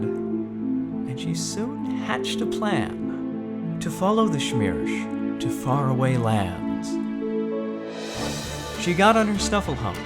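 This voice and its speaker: A man narrating a whimsical dark tale in rhythmic, theatrical verse for a radio drama. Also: on the high side. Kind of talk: scripted storybook narration in verse. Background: prominent music with guitar.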